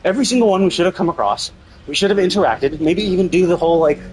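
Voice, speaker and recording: A man's voice: sits high, expressive, quick pace, low-quality recording. A man livestreaming casual game commentary, ranting in an exasperated, emphatic way and swearing freely. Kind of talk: casual gameplay commentary rant.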